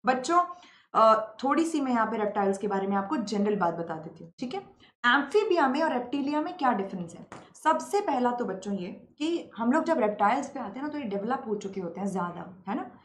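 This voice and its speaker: A young woman with a sore throat, teaching a lively, teasing online biology class. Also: fairly high pitch, fairly quick. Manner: playful, teasing, mixes English terms into Hindi. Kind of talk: lively live-stream lecture. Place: indoor room, green-screen beach backdrop. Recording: slightly roomy with faint ambient hiss.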